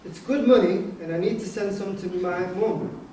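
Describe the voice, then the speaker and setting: An actor playing a young, street-hardened migrant prisoner in a staged reading, plain-spoken, with non-standard grammar and a defensive, pragmatic air. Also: male voice, sits high, normal speed, close to an everyday voice. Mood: matter-of-fact, self-justifying. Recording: distant mic in echoey room, noisy.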